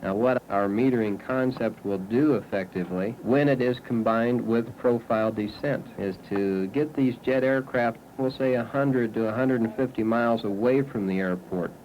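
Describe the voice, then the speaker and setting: A man's voice: mid-range voice, moderate pace, few pauses, a little mellow, dull audio. A man with an American accent, an air traffic controller explaining procedure methodically on dated 1970s film sound. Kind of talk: explanation to camera.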